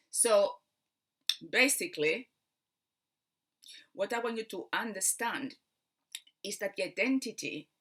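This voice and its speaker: Bright-voiced Spanish woman coaching. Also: lowish voice. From Spain. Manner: earnest, explaining slowly with frequent fillers. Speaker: mind coach. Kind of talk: spiritual teaching talk.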